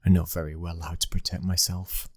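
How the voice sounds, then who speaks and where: A British man playing a commanding young mafia heir in an intimate ASMR roleplay, speaking in a measured, breathy voice that often drops toward a whisper. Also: sits low, little pitch movement, no echo. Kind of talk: ASMR boyfriend roleplay, scripted acting.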